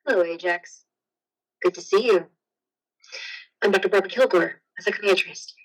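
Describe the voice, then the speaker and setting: A woman playing a firm, probing psychiatrist in a play reading, measured and professional. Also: medium pitch, breathy edge, poor audio. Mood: calm, firm. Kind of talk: live amateur play reading.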